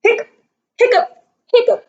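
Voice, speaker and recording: A woman's voice: normal speed, muffled recording, close to an everyday voice. A woman voicing a worried, eager young kitten in a read-aloud storybook. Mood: startled hiccuping.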